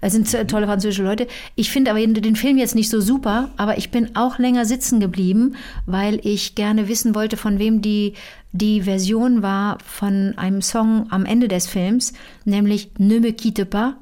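A woman's voice: mid-range voice, moderate pace. Rambling, chatty woman on a call. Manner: lively, rambling, digressive. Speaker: comedian and co-host. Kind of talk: casual phone chat between friends.

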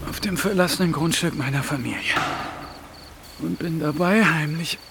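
An actor playing a secretive, burdened male accomplice in a radio drama, speaking in a deliberate way. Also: fairly high pitch, gravelly, very noisy. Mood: strained, bitter. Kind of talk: radio drama acting with intimate inner monologue. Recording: music under the voice.

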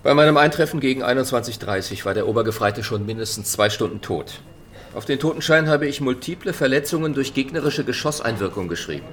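Army doctor, played by an actor: male, mid-pitched, formal, evasive, clinical, radio drama, slight background ambience. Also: lively intonation, quick pace, fairly bright tone, a little hiss or hum, lightly acted. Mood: guarded, formal.

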